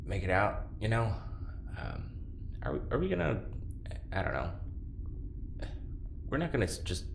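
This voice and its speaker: An actor plays a vulnerable, unsure man in an audio drama. His voice sits low and moves expressively through halting lines, in a roomy space with faint noise. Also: big pitch swings, creaky. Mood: tentative. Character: hesitant, conflicted, vulnerable young person, loyal friend.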